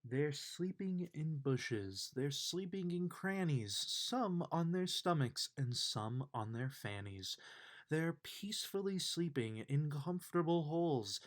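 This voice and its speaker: A man reading a children's bedtime story aloud in an expressive, unhurried way. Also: a little high. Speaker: storybook reader.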